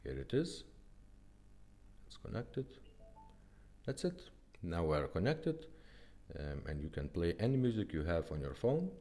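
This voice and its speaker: A man who is not a native English speaker gives a casual hands-on product demonstration as a restorer, explaining plainly and haltingly step by step. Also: low voice, sing-song, warm tone. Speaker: car radio restorer presenting a product demo.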